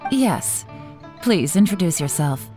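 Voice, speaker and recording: An actress playing a composed, authoritative government overseer in a video game, speaking in a brisk, measured way. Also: female voice, lowish voice, big pitch swings, warm tone. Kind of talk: scripted video game dialogue. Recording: music behind the voice.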